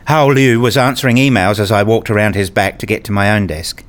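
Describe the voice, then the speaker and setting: A man's voice: lowish voice, brisk. A man narrating a scripted radio play in the first person.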